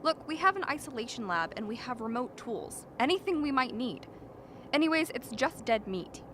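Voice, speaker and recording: An actress as a self-assured scientist who brushes off every doubt. Her voice sits slightly high, in radio play style, over faint background noise. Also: big pitch swings, moderate pace, fairly bright tone. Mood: dismissive. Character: confident, dismissive female scientist at polar base. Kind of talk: radio drama acting.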